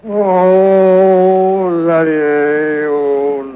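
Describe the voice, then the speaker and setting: A man's voice: sits high, monotone, drawn-out words. An actor playing a tipsy carpenter in a radio drama, rambling, fond and scolding, as he talks to his dog. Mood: maudlin, singing. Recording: muffled phone-like sound with music behind.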